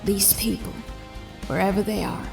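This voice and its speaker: A woman playing a determined, businesslike detective in a sci-fi audio drama, speaking in a measured, purposeful way. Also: medium pitch, lively intonation, gravelly, far from the mic, over music. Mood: brooding, resolute. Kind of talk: audio drama acting, dialogue and monologue.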